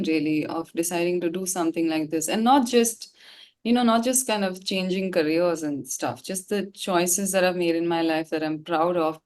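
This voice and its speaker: A woman with an Indian English accent speaking informally and reflectively as a singer-songwriter in an interview. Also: fairly low pitch. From Gangtok, India. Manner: informal, expressive, reflective, slangy. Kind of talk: casual, candid interview talk.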